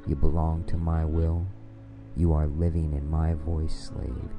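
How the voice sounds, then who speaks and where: A man delivering a scripted domination hypnosis monologue in a commanding, domineering, deliberately repetitive manner. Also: extremely low pitch, level tone, vocal fry, muffled recording, over music.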